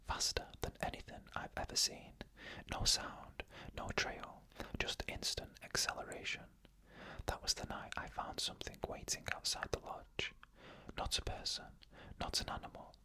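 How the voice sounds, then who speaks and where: A storyteller who whispers every word, unvoiced and close to the microphone. The breathy whisper has a bright, crisp edge, and each short phrase is set apart by calm, measured pauses, like bedtime reading of eerie tales. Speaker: ASMR storyteller. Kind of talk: whispered ASMR narration of scary stories.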